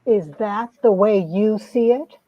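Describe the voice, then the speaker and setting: A woman's voice: medium pitch, slow pace, no room sound. A woman playing a wounded, proud young heroine, narrating and acting out a romance comic with earnest melodrama. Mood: hurt. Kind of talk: dramatic reading of a 1950s romance comic, narration and dialogue. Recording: dull, lo-fi sound.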